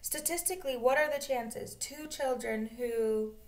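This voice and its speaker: A woman reading aloud as a reasonable, soothing father in a storybook, calm and reassuring. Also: high voice, unhurried. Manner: calm, reassuring but unsure.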